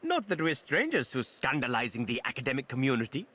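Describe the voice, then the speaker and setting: An actor playing a wry, erudite academic, lecturing and musing in video game dialogue. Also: male voice, medium pitch, wildly swooping pitch, fast talker, sharp tone, exaggerated voice. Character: wry, erudite male academic expounding a pet theory.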